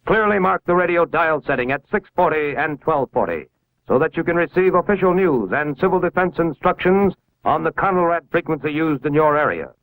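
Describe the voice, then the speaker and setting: A man's voice: a little high, lively intonation, fairly quick, fairly bright tone, low-quality recording. An American man narrating a scripted 1950s civil defense film in a formal, authoritative, instructional manner, on a vintage film soundtrack. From United States.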